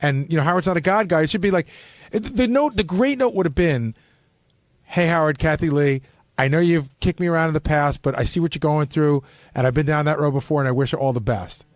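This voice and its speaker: A man's voice: on the high side, a little fast, no echo. A man chatting casually and bluntly on a radio panel, swearing freely as he gives his opinions. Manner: blunt, profane, conversational. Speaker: radio show panelist and staffer. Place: radio studio.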